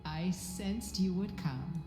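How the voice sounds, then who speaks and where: A woman voicing an elderly, forgetful fairy chief in a video game, speaking ceremoniously in a breathy, solemn storybook manner. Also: lowish voice. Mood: solemn, welcoming. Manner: slow, solemn, storybook phrasing. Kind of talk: video game character acting. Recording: echoey hall reverb with faint music.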